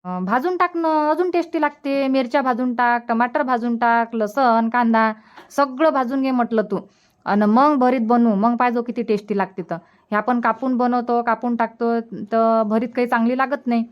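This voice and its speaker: A woman plays a practical village mother from a comedy cartoon. Her voice is a little high, and she instructs matter-of-factly with a rural Varhadi Marathi accent. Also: fairly even intonation, moderate pace, dull audio, lightly acted. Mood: instructing. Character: village mother, practical and advising family elder. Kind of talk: colloquial cartoon comedy dialogue.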